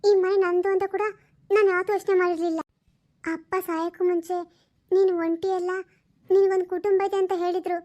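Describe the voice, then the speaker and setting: A woman's voice: very high voice, flat delivery, moderate pace, warm tone. A woman voicing a timid, apologetic orphaned young girl who pleads humbly and hesitantly in a cartoon family drama. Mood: tearful apology. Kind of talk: dramatic cartoon story dialogue.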